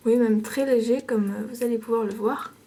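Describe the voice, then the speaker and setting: A woman's voice: on the high side, fairly even intonation, moderate pace, dark tone, faint music behind. A woman with a cold giving a casual, playful makeup tutorial, hesitating often with fillers. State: stuffy with a cold. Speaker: makeup vlogger.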